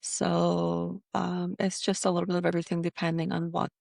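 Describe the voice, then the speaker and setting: A woman from Iran, a dentist guest in an interview, talking casually with many pauses and fillers. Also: on the low side, level tone, vocal fry, darkish tone.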